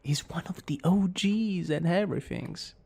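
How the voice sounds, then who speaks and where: Man acting a cocky, creaky-voiced young villain boyfriend. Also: high voice, unhurried, a little mellow, heavily acted. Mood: bragging. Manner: smug, theatrical, teasing. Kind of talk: romantic ASMR roleplay acting.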